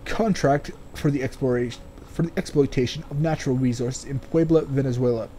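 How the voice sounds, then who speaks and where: A man's voice: medium pitch, brisk, mellow, slight background noise. A man doing casual game commentary and reading game text aloud, sardonic and free with swearing. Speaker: let's player.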